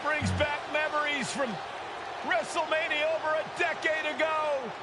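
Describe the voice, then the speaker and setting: A man acting as an excited wrestling play-by-play announcer in a video game, shouting dramatic calls over loud noise. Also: extremely high pitch, moderate pace, a loud crowd. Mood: excited, amazed. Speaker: wrestling commentator. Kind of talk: excited play-by-play sports commentary.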